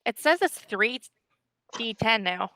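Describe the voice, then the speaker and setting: A woman's voice: a little high, expressive, slow, pushed and bright, no echo, poor audio. A woman playing in an online tabletop game, answering in short reactive replies. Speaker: player. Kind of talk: casual tabletop game talk.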